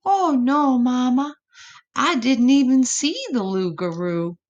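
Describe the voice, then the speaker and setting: A woman's voice: sits high, expressive, slow pace, a little mellow, dull audio, pitched above the performer's own voice. A woman voicing a plucky, boastful little boy possum for a children's storybook, in eager, breathless exclamations. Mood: boastful, excited.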